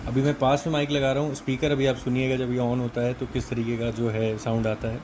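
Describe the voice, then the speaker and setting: Indian man, even-toned, noisy recording. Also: mid-range voice, a little fast. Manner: explanatory, mixes in English technical terms. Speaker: product reviewer. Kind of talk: casual unboxing and product walkthrough.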